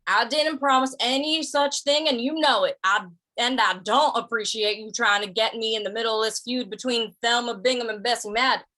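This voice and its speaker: A woman's voice: a little high, fairly even intonation, normal speed, bright, forceful tone. A woman acting a plain-spoken, stubborn but easygoing cowpoke in a 1950s-style Western radio drama, with folksy phrasing. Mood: indignant.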